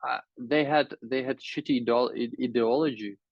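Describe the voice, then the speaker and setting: A man's voice: medium pitch, fairly even intonation, moderate pace, dry recording. A Ukrainian man speaking English with a Ukrainian accent, blunt and profane, with broken grammar. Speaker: guest. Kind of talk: casual conversation. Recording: dull, dry phone audio.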